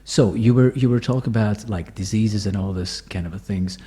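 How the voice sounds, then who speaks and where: A man's voice: fairly low pitch, brisk, mellow. A man hosting a casual interview, asking loose questions full of fillers and restarts. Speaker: host.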